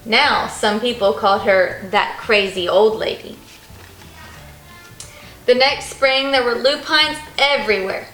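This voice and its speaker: High-voiced woman reading a storybook in a roomy space. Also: fairly bright tone. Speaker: read-aloud storyteller for children. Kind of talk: expressive picture book narration. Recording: roomy echo, faint music behind.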